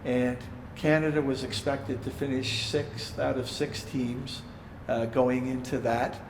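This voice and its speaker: A man's voice: medium pitch, little pitch movement, measured pace. A man hosting an interview in a casual way, stumbling a little as he starts his sentences. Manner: casual, stumbling on opening words. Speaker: interviewer, host. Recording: echoey room, long reverb, faint noise.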